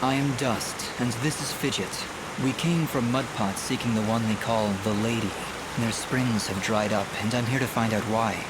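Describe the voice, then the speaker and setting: A man's voice: medium pitch, moderate pace, hardly any pauses, very noisy, lightly acted. A man voicing an earnest, kind but wry young swordsman hero in a video game. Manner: calm, wry, reassuring. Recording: faint game ambience behind the voice.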